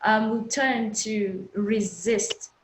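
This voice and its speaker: A woman's voice: mid-range voice, steady tone, drawn-out words, a little hiss or hum. A woman from Cameroon interviewing a guest in a conversational way. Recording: echoey room sound.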